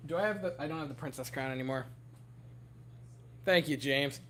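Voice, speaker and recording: A young man with a hoarse, worn-out voice, rambling giddily to his livestream chat from home. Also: mid-range voice, a little fast, background noise. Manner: giddy, rambling, talking to chat. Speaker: streamer.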